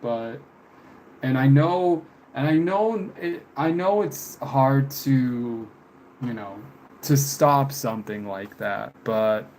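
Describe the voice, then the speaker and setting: Mid 20s guy streaming, talks slow n halting, kinda reflective, bit of noise in the bg. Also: mid-range voice, darkish tone. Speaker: live streamer painting. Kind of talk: casual live stream chat answering viewers. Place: room with window blinds, indoors.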